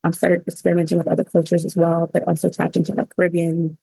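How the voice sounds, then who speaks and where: Low, creaky-voiced woman, reflective and even-toned. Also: fast talker, dry recording, poor audio. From Cameroon, then the United States. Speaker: interview guest, cookbook author. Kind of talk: personal storytelling in a conversational interview.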